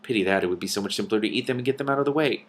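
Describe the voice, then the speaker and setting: A man's voice: on the low side, racing, natural voice. A man voicing a matter-of-fact dragon in a storybook who dryly weighs up who is edible. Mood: dryly disappointed. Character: casually hungry, matter-of-fact blue-green dragon.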